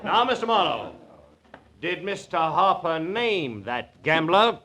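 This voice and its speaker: A man playing a formal, forceful state prosecutor in a declamatory 1940s radio drama style. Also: on the high side, big pitch swings, slow pace, exaggerated voice. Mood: formal, probing. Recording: old radio recording with faint noise.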